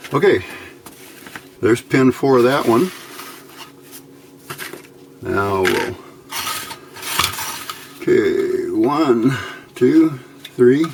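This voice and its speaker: Male hobbyist instructor, casual and very unhurried step-by-step delivery, faint noise behind the voice. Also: lowish voice, vocal fry, darkish tone. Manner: unhurried, thinking aloud. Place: indoors at a workbench by a window.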